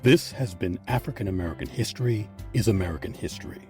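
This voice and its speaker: A man hosting and narrating a scripted history piece with measured, emphatic phrasing. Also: deep voice. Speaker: host and narrator. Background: background music.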